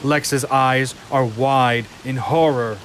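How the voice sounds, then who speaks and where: A man narrating an audio drama from a script in a deliberate, suspenseful way. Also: medium pitch, fairly even intonation, noisy background. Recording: loud rain and storm effects behind.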